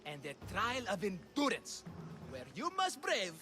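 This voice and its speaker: A man's voice: sits high, wildly swooping pitch, unhurried, pushed and bright, slight background noise, exaggerated voice. An actor playing a flamboyant, scheming ancient Greek showman in a video game, grandiose and prone to flustered stammering, with music behind him. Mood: boastful pitching. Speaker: carnival-style promoter. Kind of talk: theatrical video game acting.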